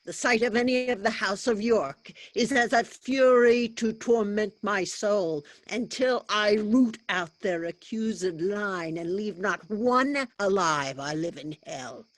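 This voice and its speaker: A woman's voice: medium pitch, slow pace, few pauses, no room sound, lightly acted. A woman playing a ruthless, vengeful male warrior lord in a staged reading of Shakespearean verse, menacing and declamatory. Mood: wrathful. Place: online video call.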